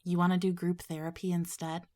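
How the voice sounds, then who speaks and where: A woman's voice: fairly low pitch, level tone, brisk, warm tone, lightly acted. A woman playing a sceptical, cautious teenage schoolgirl in audio drama dialogue, with a dry, dismissive manner.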